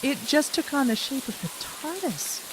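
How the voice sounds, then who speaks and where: A woman's voice: sits high, big pitch swings, moderate pace, background noise. An actress playing a plain-spoken female companion in an audio drama. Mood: puzzled.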